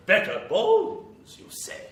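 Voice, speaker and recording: Evil hammy vampire for horror radio, slow n theatrical, echoey live room. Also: male voice, a bit bright. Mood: intrigued. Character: melodramatic evil lonely vampire lord, theatrical and exasperated. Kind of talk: classic horror radio acting. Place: small live venue with audience. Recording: roomy live stage recording, background noise.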